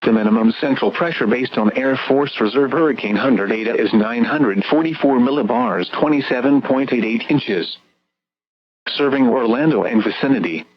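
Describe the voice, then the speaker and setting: The voice is a synthetic American man at a middling pitch, working as a weather radio announcer. He reads scripted bulletins formally and impersonally. The sound is dull and band-limited, like a radio broadcast.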